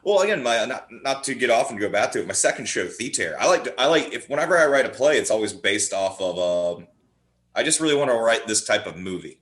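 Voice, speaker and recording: A man's voice: mid-range voice, animated, fast talker, a bit bright. A man chatting casually and enthusiastically about films as a podcast guest, rambling through stories and restarting his words as he goes. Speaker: podcast guest, horror playwright. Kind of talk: casual enthusiastic film chat. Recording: roomy, echoing sound.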